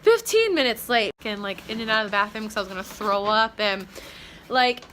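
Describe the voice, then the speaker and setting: A woman's voice: mid-range voice, expressive, a little fast, a bit bright, slight background noise, rough recording. A young woman vlogging casually about her day, rambling and chatty with lots of fillers, from a hotel room. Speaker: vlogger, bride. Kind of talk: casual vlog chat.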